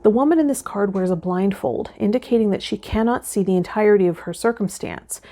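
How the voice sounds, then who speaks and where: A woman hosting a tarot channel, talking and reading guidance aloud in a measured, instructional way. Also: medium pitch, dark tone. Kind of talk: vlog talk with reading aloud from tarot guide.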